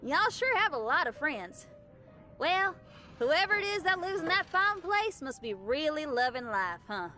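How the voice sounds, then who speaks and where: Bright, brash young female musician. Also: high-pitched, sing-song, a little slow, exaggerated voice. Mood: curious, upbeat. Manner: folksy, informal, exuberant. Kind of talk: animated video game character acting. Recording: faint music behind the voice.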